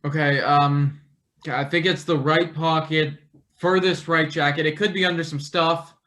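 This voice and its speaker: A nervous young intern acted by a man in a satirical stage play. His voice is on the higher side, and he speaks hesitantly and awkwardly, with some cheek under the nerves. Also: steady tone, unhurried, bright, forceful tone. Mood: unsure, nervous. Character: nervous but cheeky young male intern, new worker. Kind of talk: live table read of a satirical play.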